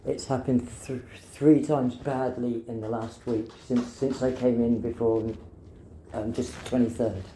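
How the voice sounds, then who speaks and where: Female patient, insistent and halting, very low voice, recorded in an echoey room with faint noise. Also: fairly even intonation, mellow. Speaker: patient at a doctor's appointment. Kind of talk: casual conversation, recounting symptoms.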